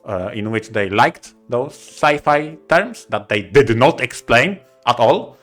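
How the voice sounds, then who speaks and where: A man with a medium-pitched voice talks casually as a podcast co-host. He works through his opinions haltingly, doubling back on words. Music plays softly behind him. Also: expressive.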